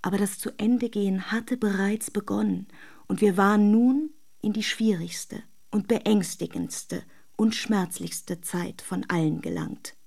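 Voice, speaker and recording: A woman's voice: medium pitch, a little slow, gravelly, a little mellow. An actress playing an adoring, despairing woman in a secret love affair, in an intimate, emotional radio drama. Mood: foreboding, sorrowful.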